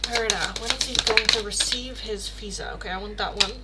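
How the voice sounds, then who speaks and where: A woman's voice: medium pitch, fairly even intonation, fairly quick, very noisy. A young woman with a Dutch Rotterdam accent, doing a casual livestream tarot reading and rambling through chat questions with lots of fillers. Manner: chatty, rambling, many fillers and self-corrections.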